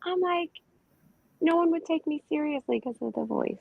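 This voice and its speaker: A woman in middle age with a youthful, slightly high voice tells long, rambling stories in relaxed chat. Her audio comes through a dull, lo-fi stream with a very dry, close sound. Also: normal speed, gravelly, no room sound. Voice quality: young-sounding voice. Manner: chatty, rambling storyteller. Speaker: panel guest. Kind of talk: casual chat on a live stream. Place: indoors at home. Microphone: computer mic on a video call.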